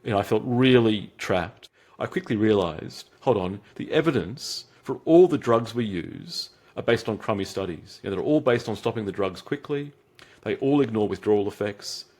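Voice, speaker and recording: A man's voice: on the low side, lively intonation, moderate pace, darkish tone. An Australian man as an interview guest, talking in a reflective way with many restarts and fillers. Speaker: guest, psychiatric trainee. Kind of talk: interview answers.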